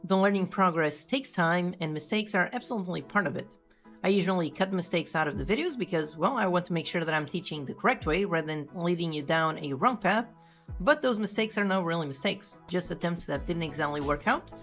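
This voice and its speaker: A woman's voice: on the low side, expressive, brisk, a bit bright. A woman narrating a scripted game development video in an explanatory manner, as a host and developer. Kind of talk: scripted tutorial devlog narration. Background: background music.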